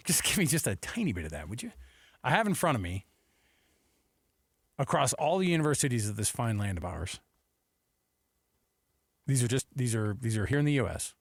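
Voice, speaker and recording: A man's voice: medium pitch, big pitch swings, moderate pace, creaky, long pauses, breathy edge, dry recording. An American man hosting sports talk radio with casual banter, speaking into a close studio microphone.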